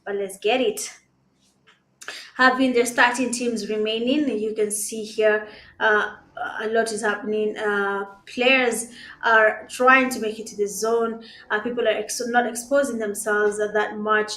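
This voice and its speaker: A Kenyan woman whose first language is Swahili, giving live esports commentary in a conversational, hesitant way with pauses. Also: a little high, fairly even intonation, fairly bright tone. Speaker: esports caster. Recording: roomy, echoing sound.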